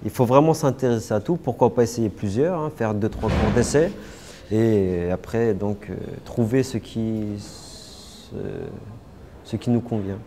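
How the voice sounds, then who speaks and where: A man's voice: lowish voice, a little slow, warm tone. Man, young adult, hesitant interview talk, faint background hiss. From Paris suburbs, France. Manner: hesitant, many fillers and restarts. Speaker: interviewee, martial artist. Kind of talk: casual interview answers.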